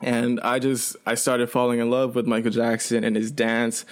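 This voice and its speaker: A man in his twenties, a native Chinese speaker raised in Canada, telling his story as a podcast guest in a relaxed, rambling way. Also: mid-range voice, normal speed. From China, raised in Canada. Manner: relaxed, rambling, frequent fillers and restarts. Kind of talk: casual storytelling.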